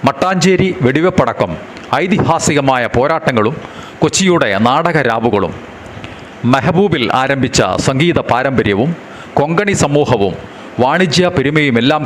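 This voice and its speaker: A man reading a book review aloud in a measured, formal way, with faint background noise and the odd passing vehicle. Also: medium pitch.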